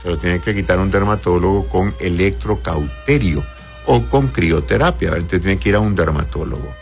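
A man with a low, deep voice speaks over background music as a doctor who hosts an advice show. He is conversational and avuncular, addressing listeners with endearments and often checking that they follow. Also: normal speed. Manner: avuncular, uses endearments and frequent checking tags. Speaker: doctor hosting a call-in advice show, answering listener messages. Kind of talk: conversational medical advice, reading messages aloud.

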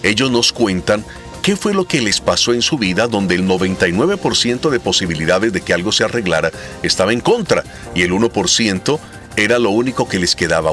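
A man's voice: mid-range voice, a bit bright. A man hosting a faith testimony program, greeting his audience and interviewing a guest in a formal broadcast manner.

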